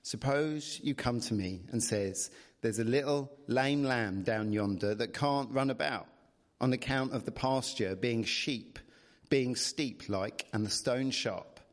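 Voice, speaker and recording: A man's voice: medium pitch, lightly acted. Man putting on a kindly old West Country shepherd. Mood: gently reassuring. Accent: English West Country. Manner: slow, homely, rustic dialect speech.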